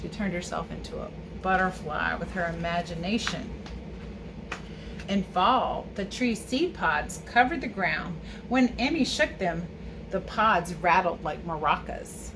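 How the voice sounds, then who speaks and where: Animated woman reading to children, noisy recording. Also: on the low side, unhurried. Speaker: teacher reading a picture book aloud. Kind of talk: children's read-aloud with chatty asides. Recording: clear background ambient noise.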